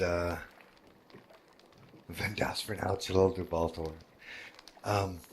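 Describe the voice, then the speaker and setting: A man with a deep voice who runs a roleplay world, talking casually to his group, halting, with many fillers and restarts. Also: slight background noise. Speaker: roleplay game world organizer.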